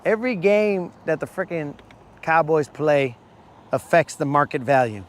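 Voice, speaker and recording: Hoarse, slangy fishing host outdoors. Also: male voice, on the high side, animated, a little slow, a little hiss or hum. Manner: casual, slangy, calls viewers bro. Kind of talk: live-stream chat while fishing. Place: outdoors on a boat on a lake.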